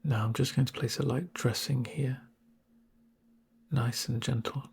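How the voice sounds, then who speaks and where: A man playing a calm medic in a soft-spoken ASMR roleplay, gentle and reassuring with dry humour and a breathy, near-whispered voice. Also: mid-range voice, heavily acted. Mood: soothing. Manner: gentle, unhurried, reassuring murmur.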